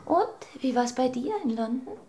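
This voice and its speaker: A suspicious, anxious wife in a radio drama. She speaks in a measured, probing way, as a woman on guard. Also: high voice, big pitch swings, dark tone, slight background noise. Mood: wary, questioning. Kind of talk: German radio drama acting.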